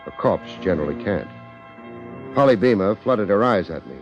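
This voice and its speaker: An actor playing a wry, hard-boiled American detective with deadpan wisecracks, in 1950s radio drama style. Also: male voice, low voice, a little fast, faint music behind. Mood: grave narration. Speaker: detective and narrator. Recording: dull, band-limited 1950s radio recording.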